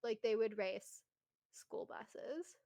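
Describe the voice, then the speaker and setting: A young woman with an American accent and a slightly high voice. She chats casually and tells stories in an animated way, often breaking into giggles. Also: slow pace. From United States. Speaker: guest racing driver. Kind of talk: casual chat.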